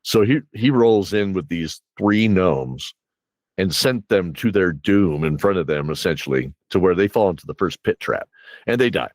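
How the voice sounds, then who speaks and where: A man with a low voice, recorded close and dry, tells stories as a game master in a casual, rambling chat. He keeps slipping into quoted reactions from his players as he goes. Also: animated, normal speed, darkish tone, no room sound. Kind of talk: casual animated storytelling chat.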